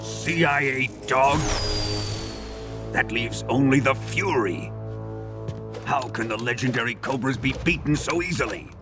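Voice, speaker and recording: A man's voice: medium pitch, measured pace, long pauses, lightly acted. A man acts out a menacing Soviet military commander in a cinematic game. He is cold, suspicious and contemptuous, with a mid-range voice that gives orders over prominent music. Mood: scornful, suspicious. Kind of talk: dramatic video game cutscene acting.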